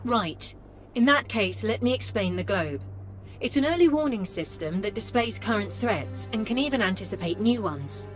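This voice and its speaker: A woman's voice: mid-range voice, lively intonation, normal speed, faint music behind, close to an everyday voice. An actress playing a polite but sassy young female AI assistant in a video game, efficient and formal with dry sarcasm. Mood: efficient, explanatory.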